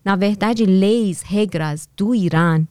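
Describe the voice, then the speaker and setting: A woman's voice: mid-range voice, a little mellow, no room sound, studio quality. Woman with a strong Persian accent. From Iran. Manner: halting, searching for words. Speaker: podcast guest. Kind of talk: casual interview answers.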